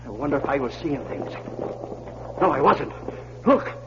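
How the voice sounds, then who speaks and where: A man's voice: medium pitch, sing-song, moderate pace, close to an everyday voice. Scheming frontier prospector, male actor, folksy and insistent, vintage radio drama delivery, lo-fi hissy recording. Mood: excited, insistent. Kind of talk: 1940s radio acting.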